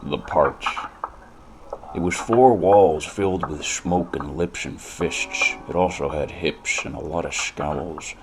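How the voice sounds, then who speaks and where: A man playing hardboiled American detective, extremely low voice, gravelly, brooding, deadpan noir narration, music behind. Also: takes it slowly, heavily acted, rough recording. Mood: wry, sardonic. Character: world-weary cynical hard-drinking male noir detective narrator. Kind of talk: scripted first-person hardboiled noir narration.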